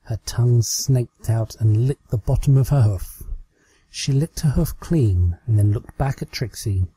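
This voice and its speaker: Slow, very dark-voiced British man reading. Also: medium pitch, slightly rough. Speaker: amateur fan fiction reader. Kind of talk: scripted story narration.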